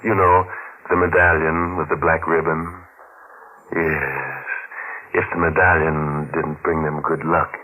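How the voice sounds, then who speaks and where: Man as a ghoulish radio horror host, deep voice, slow drawl-like pacing, sardonic, macabre, muffled 1940s broadcast with music. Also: flat delivery. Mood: sardonic teasing. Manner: macabre, mock-genial, drawn-out delivery. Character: ghoulish, sardonic male horror-show host with morbid humour.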